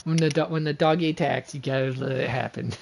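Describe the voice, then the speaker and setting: A woman's voice: a bass voice, fairly even intonation, a little fast, vocal fry, background noise. A woman game streamer chatting casually and playfully on a livestream.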